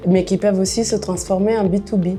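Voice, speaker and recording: A woman's voice: mid-range voice, fairly even intonation, quick pace, warm tone, faint music behind. A woman from southern Italy with an Italian accent, explaining her events work in a promotional interview. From Naples, Italy. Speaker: trade fair and events manager.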